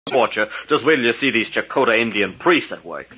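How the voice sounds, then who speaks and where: An actor playing a hot-headed, loyal sidekick with an American accent and blunt folksy slang, in 1940s radio style. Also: male voice, mid-range voice, expressive, brisk, pushed and bright, breathy edge. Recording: muffled, noisy vintage radio recording.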